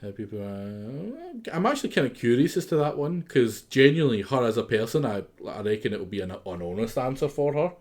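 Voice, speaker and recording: A man's voice: medium pitch, big pitch swings, fairly quick, hardly any pauses, a little mellow. Sarcastic male game streamer. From Britain. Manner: joking, sarcastic. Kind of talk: live game commentary.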